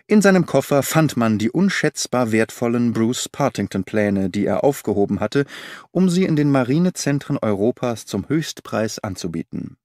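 Man, audiobook narration, measured and clear, voicing dialogue, dry close mic. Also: mid-range voice, darkish tone, no room sound. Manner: measured storytelling reading, shifting tone for quoted characters.

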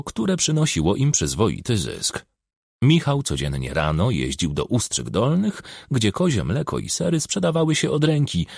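Guy narrating a crime audiobook, husky voice, really dry close recording. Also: medium pitch, darkish tone, no echo. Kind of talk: scripted narration of a crime novel.

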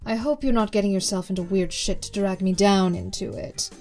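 A woman's voice: mid-range voice, a little fast, a little mellow, faint music behind, natural voice. A woman voicing a sly, amused information broker, wry and coolly sarcastic in a character demo. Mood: wry, businesslike.

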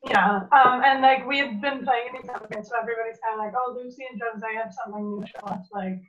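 A woman's voice: fairly high pitch, steady tone, quick pace, a bit bright. A young Canadian woman, a musician, chatting casually in an interview over a video call. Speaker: band musician being interviewed. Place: room at home. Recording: muffled, lo-fi video call audio.

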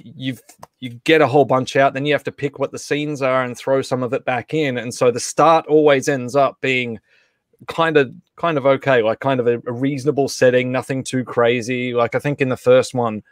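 A man's voice: medium pitch, steady tone, moderate pace, no room sound, clean studio sound. A man hosting an online table read, chatting casually with a group on a video call. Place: indoor room, video call.

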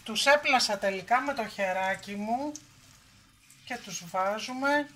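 A woman hosting a home cooking video, calmly walking through the ingredients and steps in her kitchen. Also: on the high side, a little hiss or hum. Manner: calm step-by-step narration, listing ingredients. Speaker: cooking show host.

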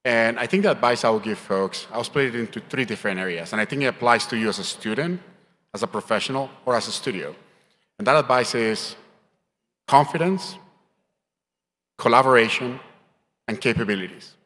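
A man's voice: medium pitch, a little fast, pauses often, pushed and bright. A middle-aged man from Colombia, a native Spanish speaker, giving emphatic, motivational advice as a panelist into a handheld microphone. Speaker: panelist, game industry professional. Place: conference stage. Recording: hall reverb from stage sound.

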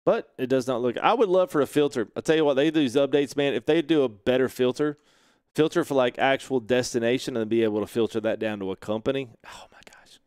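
A relaxed, chatty man in his thirties talks through a trucking game on a livestream, greeting viewers and laughing easily. His voice sits at an ordinary middle pitch. The sound is close and dry, with little room around it. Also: fast, clear, resonant tone, no echo. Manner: laid-back, chatty, laughs often, reads chat aloud. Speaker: streamer. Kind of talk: casual gameplay livestream chat. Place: home sim-rig setup, indoors. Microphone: headset.

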